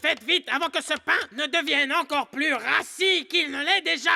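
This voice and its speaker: Cartoon dub: bossy little animal leader, extremely shrill and bright, impatient and sarcastic. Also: male voice, normal speed, faint music behind, exaggerated voice. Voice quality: shrill, gravelly.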